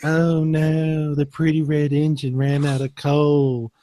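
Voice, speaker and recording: A man's voice: a little high, monotone, takes it slowly, dark tone. A man voicing a worried, pleading zoo animal in a spoof storybook. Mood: dismayed.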